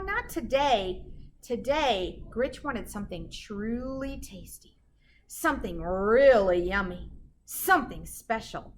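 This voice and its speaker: A woman's voice: a little high, fairly bright tone, slight background noise. A woman reading a children's storybook aloud like a teacher, with dramatic emphasis and chatty asides to her listeners.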